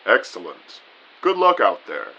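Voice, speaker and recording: Male actor as genial, pompous chief in radio play, booming and bright, unhurried, lively intonation, slightly lo-fi. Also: husky, full character voice. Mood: genial, businesslike. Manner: pompous, genteel, formal phrasing. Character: booming, jovial, courteous male agency boss. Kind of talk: audio drama dialogue.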